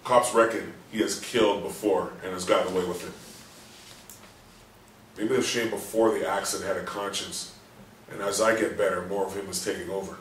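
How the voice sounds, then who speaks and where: An actor playing a subdued, guilt-ridden man recovering from a coma, with halting, effortful speech in a stage play reading. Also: low-pitched, fairly even intonation, lightly acted. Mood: brooding. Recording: roomy echo, distant mic, faint noise.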